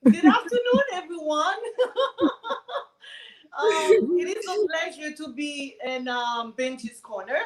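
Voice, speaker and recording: A woman's voice: high-pitched, slow pace, a crowd in the background. A woman, a guest chatting in a bubbly, effusive way. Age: 40s. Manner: bubbly, effusive, laughs easily. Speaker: guest, radio host. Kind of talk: casual chat.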